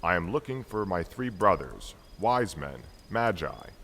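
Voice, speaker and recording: Man playing a deep-voiced, solemn wise man. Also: sing-song, a little slow, a bit bright, full character voice. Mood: courteous, eager. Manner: formal, solemn, declamatory. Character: Artaban, devout, courteous, weary male Magus seeking a king. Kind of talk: amateur dramatic reading of a Christmas story. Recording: long hall reverb, faint background noise.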